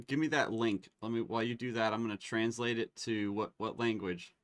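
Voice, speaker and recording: Man, host, casual demo chat, excitable, lowish voice, dry sound. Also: a little fast, no room sound. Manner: excitable, laughs and exclaims while testing tools.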